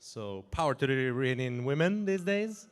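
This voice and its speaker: Lively man in his forties. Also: mid-range voice, moderate pace, smooth. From Iran, raised in Norway. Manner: animated, chuckling, frequent restarts. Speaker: guest. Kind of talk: casual interview talk.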